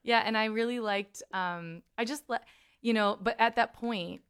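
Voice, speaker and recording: A woman's voice: on the high side, moderate pace, a bit bright, smooth. A woman guest musician chatting casually in a radio studio interview, laughing easily and poking fun at herself, with a little grit in her voice.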